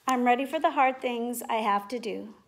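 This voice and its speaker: A middle-aged woman teacher speaking in simple, clear phrases to young children, as in a read-aloud or classroom demonstration. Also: on the high side, moderate pace, a little hiss or hum. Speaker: teacher making videos for pupils. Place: indoors at home, living room and kitchen. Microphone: device mic at about arm's length.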